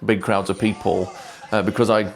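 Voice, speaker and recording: A man, talking quietly and hesitantly to camera in a casual travel vlog, pausing often with ums, on a clip-on microphone. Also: fairly low pitch, level tone, creaky. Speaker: vlogger.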